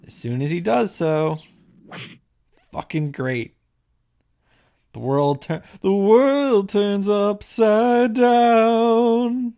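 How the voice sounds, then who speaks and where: A man's voice: sits high, animated, drawn-out words, pauses often, mellow, smooth. A man giving blunt, mocking, sweary reaction commentary on a visual novel. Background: game music.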